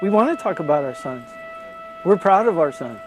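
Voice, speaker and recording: A man with a high voice gives an earnest, personal interview. Faint music plays underneath. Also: very animated, normal speed. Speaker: interviewee, bereaved parent.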